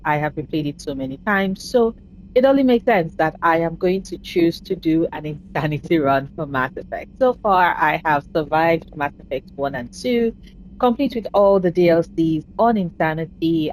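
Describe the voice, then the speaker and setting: A woman casually commentating her own game playthrough, chatty and self-deprecating, speaking low and soft because talking hurts right now. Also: slight background noise, rough recording. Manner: chatty, self-deprecating, swears at setbacks in play. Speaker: gaming channel host. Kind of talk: casual let's-play commentary.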